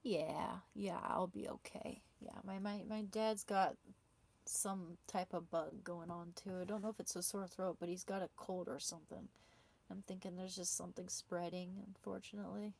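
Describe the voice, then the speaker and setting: A woman's voice: on the low side, steady tone, moderate pace, creaky, a little mellow, husky. A woman hosting a casual live stream, chatting with viewers and answering their comments through a small clip-on mic. She has a sore throat and her voice is starting to fail, and she often restarts and repeats words mid-sentence. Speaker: livestreamer. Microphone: small clip-on wireless mic.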